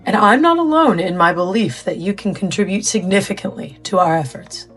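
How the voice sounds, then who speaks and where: A woman playing an authoritative scientist in an audio drama, explaining things in a formal, measured way. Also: medium pitch, lively intonation, a bit bright, husky, faint music behind. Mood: formal, composed. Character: authoritative, formal female scientist briefing a newcomer. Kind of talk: scripted audio drama dialogue.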